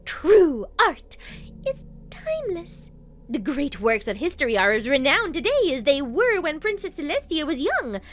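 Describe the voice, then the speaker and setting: A woman's voice: high voice, very animated, a little slow, slight background noise, pitched above the performer's own voice. A woman voicing a proud, elegant unicorn noblewoman with a grand, poised and demanding manner, in a storybook reading. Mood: proud, commanding. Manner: grand, poised, emphatic delivery.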